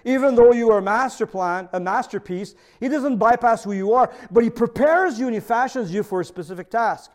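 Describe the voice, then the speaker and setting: A middle-aged man with a French accent, his native tongue being French, delivers a sermon in a notably high voice. He preaches earnestly as pastor and keeps checking in with his listeners through rhetorical questions. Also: fairly quick, hardly any pauses.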